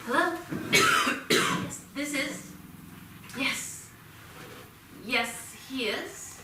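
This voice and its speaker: Woman acting anxious mother, high, slow, echoey. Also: lively intonation, heavily acted. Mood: alarmed, pleading. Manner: hesitant, worried questioning. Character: anxious, pleading mother of a teenage boy. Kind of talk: staged play reading. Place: small theatre, staged reading. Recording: noisy, very echoey theatre from far off.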